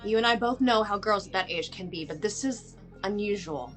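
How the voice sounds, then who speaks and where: A woman's voice: mid-range voice, moderate pace, soft background music, natural voice. A woman playing a frustrated, worried mother who bluntly demands help from the police, in a film table read. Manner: blunt, exasperated, sharp-tongued.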